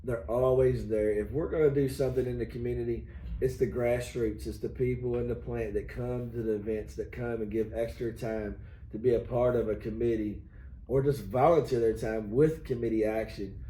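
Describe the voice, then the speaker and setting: A hoarse middle-aged man hosting a union video chat, folksy and rambling with many fillers. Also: medium pitch, fairly even intonation, moderate pace, mellow. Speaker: union local president, host. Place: union hall. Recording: echoey room, faint noise.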